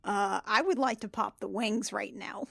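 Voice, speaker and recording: A woman with a mid-pitched voice chats through her turn in a tabletop roleplaying game. She is informal and playful as she describes her character's moves. The sound is close and dry, with no room echo. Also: moderate pace, creaky, bright, forceful tone, slightly rough. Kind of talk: casual in-game chat, describing character's actions.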